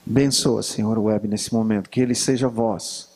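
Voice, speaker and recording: A man's voice: lowish voice, fairly even intonation, normal speed, a little mellow, slightly rough. A man leading an earnest spoken prayer for a guest preacher before a church conference session. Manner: earnest, praying aloud.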